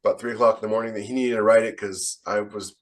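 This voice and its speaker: A man's voice: fairly low pitch, fairly even intonation, quick pace. A man speaking as an author on a podcast panel, blunt and emphatic in casual, opinionated conversation. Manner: blunt, emphatic, rhetorical questions.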